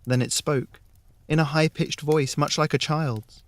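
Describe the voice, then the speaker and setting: A man narrating a scripted fairy tale in the first person, measured and with dramatic pauses. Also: on the high side, steady tone. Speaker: story narrator.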